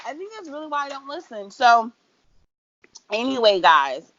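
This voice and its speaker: A woman's voice: fairly high pitch, very animated, moderate pace, fairly bright tone, lo-fi sound, a little hiss or hum. A woman co-hosting a casual podcast, chatting playfully with sassy asides like "honey" and "girl.". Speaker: podcast co-host. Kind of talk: casual chat.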